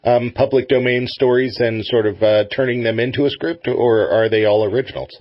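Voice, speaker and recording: A man's voice: medium pitch, fairly even intonation, brisk, no room sound, dull audio. A man chatting casually as the interviewer on a talk show. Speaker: interviewer, host.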